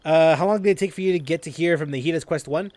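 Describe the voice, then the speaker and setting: A man's voice: a little high, racing, a little mellow, no room sound. A man casually commenting while he plays a video game, musing and rambling as he thinks aloud. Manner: musing, rambling, with restarts and laughs. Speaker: gaming streamer.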